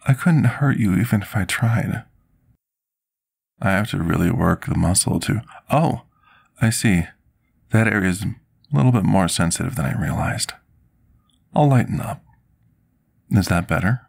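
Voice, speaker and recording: Gentle fantasy potion master, played by a male actor: deep, gravelly, soft-spoken ASMR, politely submissive servant. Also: animated, a little slow, pauses often, heavily acted. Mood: soothing, tender. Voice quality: husky, gravelly. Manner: formal, polite, submissive, archaic wording. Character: gentle, timid, deferential male potion master serving a dragon.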